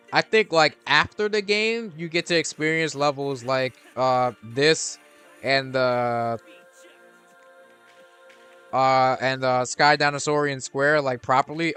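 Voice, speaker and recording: A man's voice: a little high, fairly bright tone, smooth. A man hosting a gaming channel, rambling through casual gameplay commentary with lots of restarts and fillers. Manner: rambling, many restarts and fillers, laid-back.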